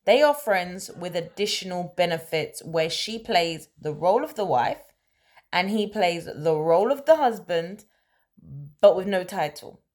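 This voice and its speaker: A woman telling a story in a casual, conversational way, animated and emphatic, often checking in with rhetorical questions. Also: fairly low pitch, a little slow. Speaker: storyteller, host of a story-time series.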